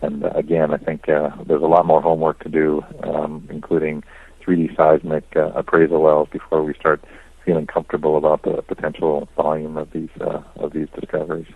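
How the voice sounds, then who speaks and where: Male executive on an earnings call, very deep and even-toned, careful and noncommittal, dry close sound. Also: vocal fry, slightly rough, dry recording, narrow, tinny audio. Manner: hedging, cautious, measured answers. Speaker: company executive answering investor questions. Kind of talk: earnings call question and answer. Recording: dry conference call audio.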